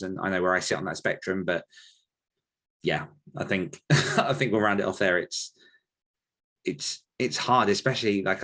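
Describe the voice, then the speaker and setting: A man's voice: sits low, fairly bright tone. A man hosting a podcast discussion, speaking casually in a reflective, careful, diplomatic way. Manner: measured, diplomatic, hedging. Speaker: podcast host.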